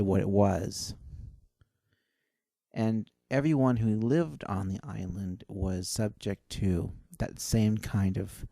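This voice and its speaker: A man playing a salty old sea captain, telling a rambling, halting seafaring tale with dramatic pauses in an old-time radio adventure style. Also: lowish voice, creaky, warm tone, dry recording, full character voice. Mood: musing, hesitant. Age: older. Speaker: storyteller. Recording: voice over easy listening music. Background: easy listening soundtrack.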